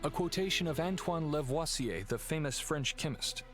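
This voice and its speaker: An actor playing a polite, serious gentleman heir in a video game, explaining things in a courteous, earnest way. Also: fairly high pitch, moderate pace, over music, natural voice. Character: Sir Henry, polite, serious male heir of cursed family. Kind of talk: video game dialogue acting.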